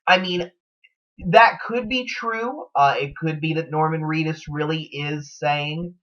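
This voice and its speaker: A man giving casual, unscripted fan commentary, thinking aloud in a hesitant, halting way. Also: a little high, a bit bright. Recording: dull lo-fi sound.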